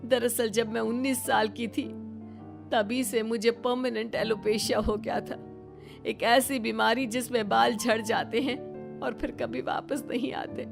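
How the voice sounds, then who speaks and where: A woman's voice: on the high side, fairly even intonation, slow, gravelly, music in the background. A woman voicing an older mother-in-law in a family melodrama, dramatic, plaintive and ashamed. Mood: tearful, pained. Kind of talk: melodramatic Hindi family story acting.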